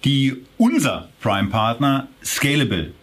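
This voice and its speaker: Man, interviewer, husky tone, long framing questions. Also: medium pitch, very animated, measured pace. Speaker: host.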